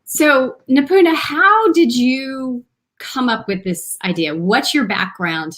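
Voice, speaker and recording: A woman's voice: high-pitched, lively intonation, slow, fairly bright tone. A woman hosting an interview, asking chatty, enthusiastic questions. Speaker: interview host. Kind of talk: conversational interview.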